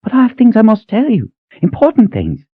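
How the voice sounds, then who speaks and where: Man doing a shrill, gushing older kitchen mistress, squeaky high falsetto, strange thin timbre, tinny narrow sound, audiobook voice. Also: wildly swooping pitch, brisk, breathy edge, dry recording, exaggerated voice. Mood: fussing. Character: shrill excitable older woman palace cook. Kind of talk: audiobook character voice.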